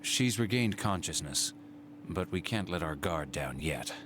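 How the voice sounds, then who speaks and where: An actor playing a serious hospital doctor in a video game, explaining things in a careful, measured manner. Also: male voice, low-pitched, expressive, background noise. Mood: grave.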